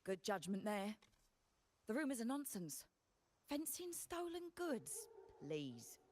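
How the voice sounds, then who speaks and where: A woman's voice: medium pitch, sing-song, slow pace, darkish tone, no echo. A woman voice actor playing a blunt, practical commoner trader in a video game, with a frank, sardonic, informal manner. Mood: defensive.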